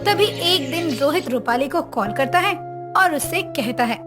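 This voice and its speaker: A woman's voice: high voice, animated, measured pace. A woman reading scripted story narration as a narrator. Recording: music under voice.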